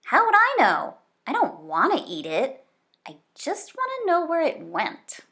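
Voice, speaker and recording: A woman's voice: high voice, wildly swooping pitch, measured pace, bright, forceful tone, pitched above the performer's own voice, full character voice. A woman voicing a skeptical, blunt young boy in a children's storybook. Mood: puzzled.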